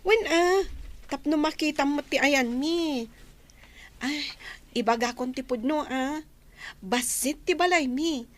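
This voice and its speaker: A woman's voice: high voice, slow pace, long pauses. A woman playing a friendly, modest young co-worker with a welcoming way, in an Ilocano audio drama. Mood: friendly, inviting.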